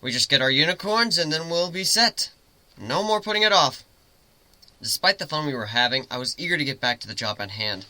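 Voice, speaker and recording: A man giving an expressive, theatrical dramatic reading of a first-person story. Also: a little high, brisk, dry recording. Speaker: amateur story reader, narrator.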